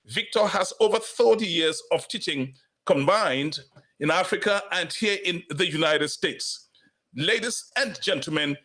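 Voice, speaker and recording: A man with a slightly raised pitch hosts a studio talk broadcast. He speaks in a measured, formal announcing manner. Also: a bit bright. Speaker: host.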